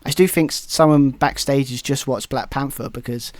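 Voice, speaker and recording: A man's voice: medium pitch, fairly even intonation, normal speed, no room sound. A man from Britain co-hosting a wrestling podcast, talking casually in rambling, self-correcting, opinionated stretches. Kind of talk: casual chat.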